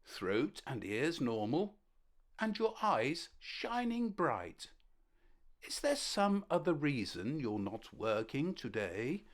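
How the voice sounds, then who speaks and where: A woman voicing a kind, calm male ant doctor in a storybook, gentle and reassuring. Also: extremely low pitch, takes it slowly, full character voice. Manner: gentle, reassuring bedside manner. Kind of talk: storybook character voice.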